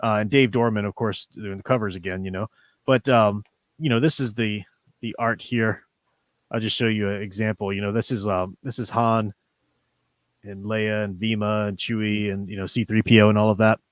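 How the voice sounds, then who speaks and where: A man's voice: fairly low pitch, fairly even intonation, moderate pace, no echo. A man co-hosting a casual livestream discussion, explaining things in a rambling, conversational way. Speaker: livestream co-host. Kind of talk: casual book and comics discussion.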